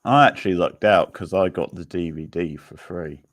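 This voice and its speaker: A man hosting a livestream quiz, chatting casually in an unhurried, rambling way as he replies to viewers. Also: low-pitched, no room sound.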